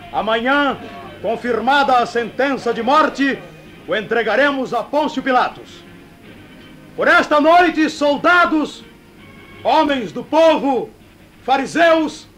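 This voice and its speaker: A stern high priest declaims in a very high, slow voice. He is pompous and commanding, in old-fashioned radio drama style, with music behind him. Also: a bit bright, heavily acted. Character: stern high priest presiding over a trial, outraged and commanding.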